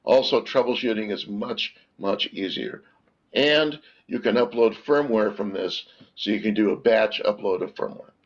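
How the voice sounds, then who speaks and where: A man presenting a product as a webinar guest, explaining technical details informally with halting pauses mid-phrase. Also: fairly low pitch. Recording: dull, lo-fi online call audio.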